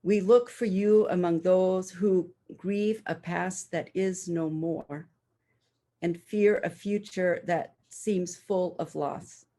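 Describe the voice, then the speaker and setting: Slow, mellow reader of prayer. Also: mid-range voice, fairly even intonation. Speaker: worship reader. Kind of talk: slow reading of a prayer poem. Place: at home, on a video call. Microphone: video call.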